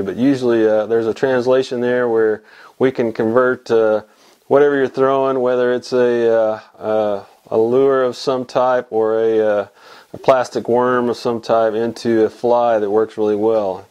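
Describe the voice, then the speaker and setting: A man's voice: medium pitch, darkish tone. A man in his fifties from Texas, hosting a casual weekly fly fishing report from his small home fly shop, talking to a camera at desk distance with lots of fillers and restarts. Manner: rambling, many restarts and fillers. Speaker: fly fishing show host. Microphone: camera mic at desk distance.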